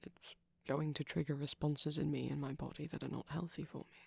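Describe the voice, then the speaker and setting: A man voicing a shy, gentle, nervous vampire boyfriend in an intimate ASMR roleplay, speaking softly and often whispering, hesitant and rambling, close to the microphone. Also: on the high side, level tone, brisk, no echo, heavily acted. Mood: anxious, earnest. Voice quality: soft, breathy, often whispering.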